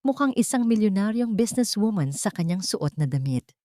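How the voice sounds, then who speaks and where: A woman's voice: medium pitch, very animated, a little fast, mellow, no room sound, studio quality. A woman narrating a scripted love story in a dramatic storytelling style.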